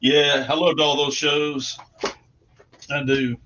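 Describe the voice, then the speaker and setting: A man's voice: a little high, flat delivery, slow pace, sharp tone, muffled recording, a little hiss or hum. A man chatting casually as a guest comic artist, explaining things in an informal, rambling way. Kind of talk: casual chat.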